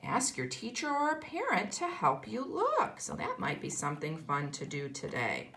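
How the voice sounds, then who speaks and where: A woman's voice: sits low, huge pitch swings, normal speed, bright, forceful tone. A woman teaching kindergarten, reading a picture book aloud to young children and explaining as she goes. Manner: instructional, explaining and posing questions to children.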